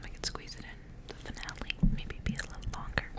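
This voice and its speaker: A young woman speaking in a soft, breathy whisper as an ASMR creator. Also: fairly quick. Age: young adult. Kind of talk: whispered ASMR, casual rambling and story reading.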